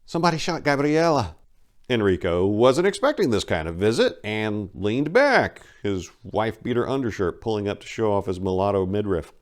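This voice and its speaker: A man's voice: lowish voice, very animated, normal speed, hardly any pauses. A man hosting and reading a scripted story as dramatic narration, with theatrical emphasis. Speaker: host and narrator.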